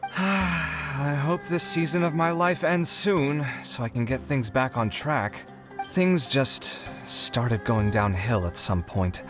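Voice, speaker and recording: Put-upon young man inventor male actor, video game; dramatic, long-suffering, medium register, soft music underneath. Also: mid-range voice, natural voice. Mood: despairing. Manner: exasperated, theatrical, sighing. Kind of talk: animated video game character acting.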